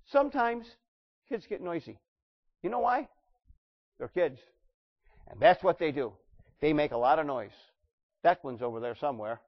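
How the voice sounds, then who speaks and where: A male pastor delivers a sermon from the pulpit in a fairly high voice. He talks loud, then drops low. The church recording sounds dull and lo-fi. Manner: loud preaching voice with wide swings in volume. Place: church sanctuary.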